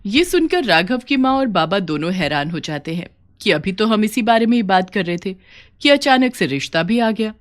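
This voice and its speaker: A woman's voice: medium pitch, big pitch swings, normal speed. A woman narrating a scripted folk tale for a cartoon, as a storyteller.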